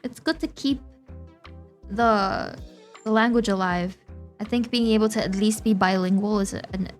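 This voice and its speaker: A young woman streaming a game, chatting to viewers in an excitable, giggly, talkative way. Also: medium pitch, normal speed, faint music behind. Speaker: VTuber streamer. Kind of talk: casual game-stream commentary.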